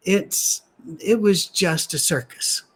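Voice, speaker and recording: A man, a pastor, preaching a sermon in a soft, gentle voice, conversational with dry humorous asides, at a church gathering held on a soundstage. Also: sits high, takes it slowly, breathy edge.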